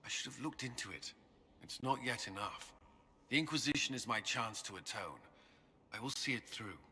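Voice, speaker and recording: A man's voice: mid-range voice, expressive, normal speed, pushed and bright. An actor playing a guilt-ridden male templar commander in a video game, speaking solemnly and earnestly. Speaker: game character.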